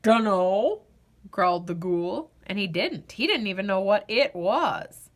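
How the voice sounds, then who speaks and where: A woman reading a children's storybook aloud as a storyteller, in a deliberate, dramatic, expressive way. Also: mid-range voice. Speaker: storyteller, host of a read-aloud show.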